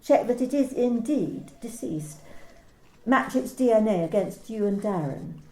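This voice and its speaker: An actress playing a smooth, coolly polite corporate manager who talks in bland, bureaucratic phrases. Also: female voice, on the high side, expressive, a little slow, warm tone, roomy sound, rough recording. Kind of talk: amateur radio play reading.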